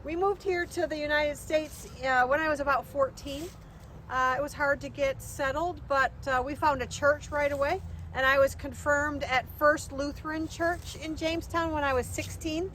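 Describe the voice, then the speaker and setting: A woman's voice: high-pitched, fairly even intonation, moderate pace, a bit bright. A woman playing a devout nineteenth-century immigrant looking back on her life, speaking plainly and hesitantly in an outdoor historical reenactment. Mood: reflective. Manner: hesitant, plain, conversational reminiscing. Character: Swedish-born immigrant widow's daughter, devout Lutheran woman looking back. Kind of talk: first-person historical reenactment. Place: outdoors in a cemetery. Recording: outdoor recording, faint vehicle noise.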